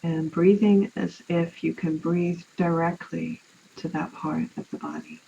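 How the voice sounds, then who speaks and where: Low, mellow woman guiding meditation. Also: steady tone. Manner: unhurried, soothing, with long pauses. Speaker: guided meditation teacher. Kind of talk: guided relaxation meditation. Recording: muffled, with clear background noise.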